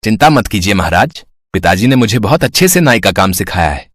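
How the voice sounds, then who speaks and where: A man voicing a foolish, overconfident young barber's son, boastful and earnest, in a Hindi animated story. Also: lowish voice, brisk, dry recording, studio quality. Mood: confident.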